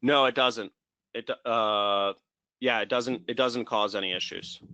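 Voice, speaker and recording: A man hosting a live webinar, answering viewers' questions in a brisk, direct and reassuring way. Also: fairly low pitch, fairly even intonation, bright, forceful tone, clean tone, no room sound, dull audio. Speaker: course host.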